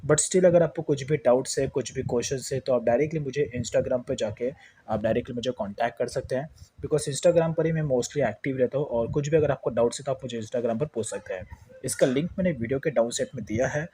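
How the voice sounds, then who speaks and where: A man's voice: mid-range voice, brisk, dark tone, slightly rough. A man with an Indian accent giving a casual step-by-step phone tutorial, explaining repetitively and mixing in English words. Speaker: tech tutorial host.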